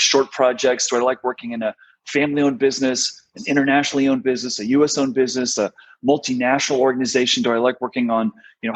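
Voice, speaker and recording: A middle-aged American man, a podcast guest, telling his career story in a relaxed way with many fillers. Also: medium pitch, slightly rough, dull audio. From United States. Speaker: guest, talent strategist. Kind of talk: podcast interview.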